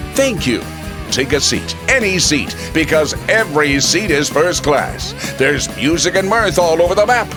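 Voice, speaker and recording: Theatrical male radio announcer over music. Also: on the high side. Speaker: show announcer. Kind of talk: theatrical scripted radio show introduction.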